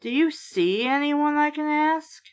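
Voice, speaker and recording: A woman's voice: high voice, a little slow. A woman voicing a short-tempered, dramatic father in a storybook, snappish and exasperated. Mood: exasperated anger.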